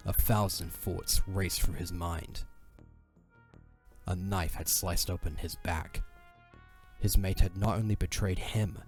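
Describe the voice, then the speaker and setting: A man narrating a dramatic fantasy audio drama in a solemn storyteller's delivery. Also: deep voice.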